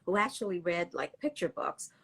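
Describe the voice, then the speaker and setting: Lively husky-voiced middle-aged woman author. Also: on the low side, moderate pace. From Canada. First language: Cantonese. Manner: animated storytelling, laughs often. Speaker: children's book author. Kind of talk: casual live interview chat.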